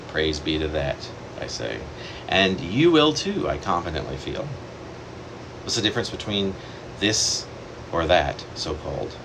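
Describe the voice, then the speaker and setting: Deep-voiced man reading his poem. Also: gravelly. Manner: measured, reflective delivery with deliberate pauses. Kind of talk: poetry reading. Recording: steady air-conditioner hum behind the voice.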